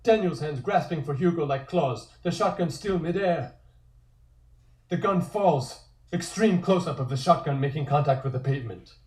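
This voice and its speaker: A man's voice: on the high side, moderate pace, a little mellow. A man narrates scripted scene directions for a horror comic in a table-read style. His mid-range voice sounds roomy and echoey. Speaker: narrator reading stage directions.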